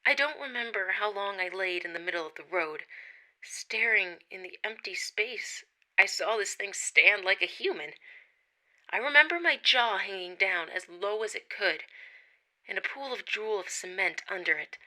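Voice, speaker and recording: A woman reading a first-person account of a strange encounter, dropping to hushed tones at tense moments. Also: medium pitch, lively intonation, moderate pace, rough recording. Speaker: witness reading her account.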